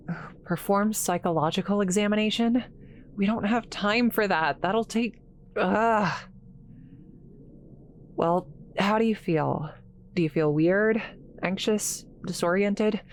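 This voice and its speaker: A woman playing an awkward, nervous scientist in an audio drama, rambling haltingly as she talks to a patient. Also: fairly low pitch, slight background noise, close to an everyday voice. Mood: frazzled, exasperated. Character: flustered awkward young woman government scientist tending an alien. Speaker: voice actor. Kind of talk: scripted roleplay audio drama, one-sided dialogue.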